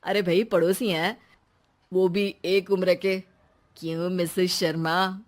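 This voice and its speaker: A woman's voice: fairly low pitch, level tone, takes it slowly. A woman voicing a flirtatious, fawning husband in a cartoon comedy, stammering and hesitant. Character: flustered, flirtatious middle-class husband, a fawning man. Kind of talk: Hindi cartoon comedy acting.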